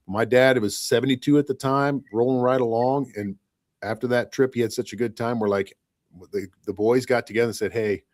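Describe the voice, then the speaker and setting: A man's voice: medium pitch, steady tone, fairly quick, a little mellow. A man in his fifties from Michigan, talking in a rambling, reflective way in a casual podcast chat. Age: 50s. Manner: rambling, reflective, often says "you know what I mean".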